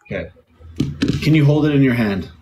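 A man's voice: medium pitch, animated, moderate pace, warm tone, dull audio, slight background noise. A young man hosting a casual live chat game, teasing and playful and loose with his swearing, speaking into a phone camera in a bedroom. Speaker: livestream host. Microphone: phone camera on a live stream.

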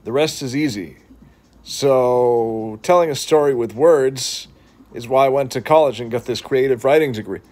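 A man's voice: medium pitch, darkish tone. Earnest man with a New England accent. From New England, Boston. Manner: earnest, halting, many restarts and fillers. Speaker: vlogger. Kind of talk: reflective talk to camera. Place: living room, seated on couch indoors. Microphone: phone camera at arm's length.

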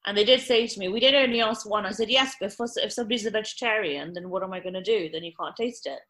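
Woman, 30s, restaurant-owner guest. Bright tone, animated storytelling, loose with fillers. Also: medium pitch, fast talker. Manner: animated anecdote-telling with many fillers. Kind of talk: podcast interview storytelling.

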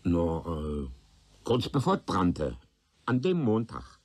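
An actor playing an evasive, flustered tenant under questioning in a radio crime drama, hesitant and colloquial. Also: male voice, sits low, wildly swooping pitch, slow pace, a little mellow, exaggerated voice. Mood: uncertain. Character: nervous, evasive male witness, a nosy tenant turning flustered.

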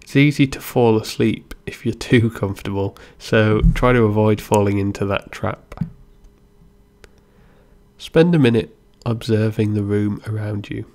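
Male meditation guide: slow, gentle delivery with a gravelly fry and room left between phrases. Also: on the low side. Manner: unhurried, gentle instruction with pauses. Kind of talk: guided body scan meditation narration.

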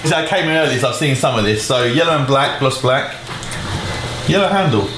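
Guy boss asking quick questions, pitch jumps around, echoey noisy shop. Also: animated. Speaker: host, workshop boss. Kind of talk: casual questioning review. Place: indoor workshop. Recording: echoey workshop with background noise.

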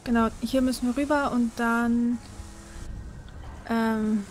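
Young woman gamer, higher voice, thinking aloud. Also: fairly even intonation, slow pace, a little hiss or hum. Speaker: let's player. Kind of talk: casual co-op gaming chat.

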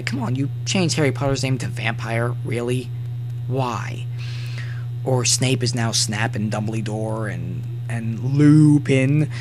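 Young adult male, medium-pitched voice, casual commentary, halting, dramatic emphasis, repeated words, clear background noise. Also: low-quality recording. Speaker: fan fiction reader and commentator.

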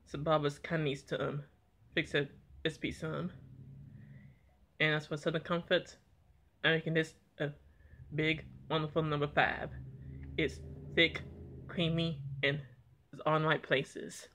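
A young man talking plainly and a little haltingly as he reviews eggnog on a home vlog, filmed by a camera on the table in a small room. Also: on the high side, level tone, sharp tone, a little hiss or hum. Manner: plain, unhurried, slightly halting talk. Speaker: product reviewer. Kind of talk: casual taste-test vlog. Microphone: camera on table in front.

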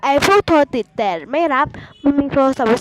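A woman's voice: high voice, a little fast, fairly bright tone. A woman voicing a vain, scheming male resort owner from a comic, grumbling dramatically and easily rattled. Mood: irritated. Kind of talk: amateur comic read-aloud. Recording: clearly noisy home recording with clicks.

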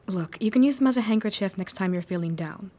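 A woman's voice: mid-range voice, expressive, quick pace, warm tone, lightly acted. A young woman playing a sweet, self-doubting 1960s female student in an audio drama. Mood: grateful. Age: young adult. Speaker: student actor.

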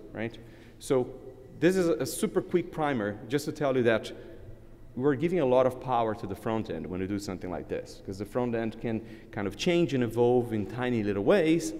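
A man in his thirties, a native Portuguese speaker, giving a relaxed live technical conference talk at a lectern, with many fillers and restarts. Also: on the low side, in a large hall. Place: large conference hall, at a lectern.

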